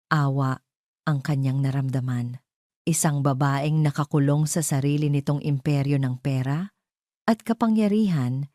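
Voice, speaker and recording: A woman narrating a scripted romance drama as a storyteller, in a measured, solemn manner. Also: low voice, dark tone, no echo, studio quality.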